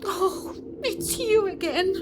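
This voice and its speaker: A woman's voice: very high-pitched, background noise. A woman playing an anxious, bewildered governess in a spooky audio play. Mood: frightened, disbelieving. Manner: anxious, pleading, halting. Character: frightened young governess woman, earnest and bewildered.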